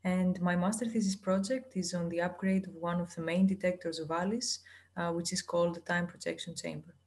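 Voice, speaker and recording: A woman's voice: lowish voice, fairly even intonation, brisk, darkish tone. A young woman master's student from Greece eagerly introducing her thesis project. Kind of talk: self-introduction to camera.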